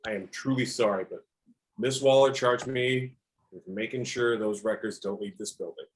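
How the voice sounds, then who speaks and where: A man playing a dutiful, ruthless armed operative, measured and apologetic, in a script table read. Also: lowish voice, natural voice, poor audio. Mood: firm, regretful.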